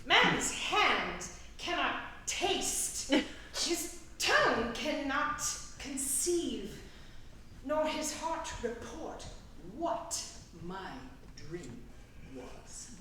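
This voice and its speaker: A giggly, boastful, dazed workman played by a woman in a staged Shakespeare reading, high and very slow. The delivery is theatrical and broken by sighs, heard from far off in an echoey space. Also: big pitch swings, bright, forceful tone, heavily acted. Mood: dazed wonder. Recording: echoey hall, distant mic, faint noise.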